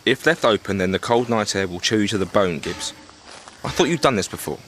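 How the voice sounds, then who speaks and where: An actor playing a blustering, indignant British army captain of the First World War in a period audio drama, with a clipped English accent. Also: male voice, on the low side, animated, brisk, a bit bright, a little hiss or hum. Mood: brisk.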